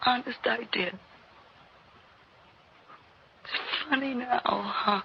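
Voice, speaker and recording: An actor playing a jaded, desperate former showman in a 1960s radio drama, with halting, stammering speech that often repeats itself. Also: extremely high pitch, creaky, exaggerated voice. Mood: nervous, wistful. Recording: dull, hissy old radio recording.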